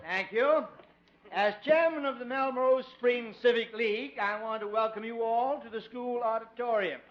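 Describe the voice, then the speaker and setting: A man's voice: very high-pitched, normal speed. An actor playing a formal, long-winded civic league chairman who chuckles at his own jokes, in a 1950s radio sitcom, from a muffled old broadcast. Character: long-winded middle-aged male club chairman.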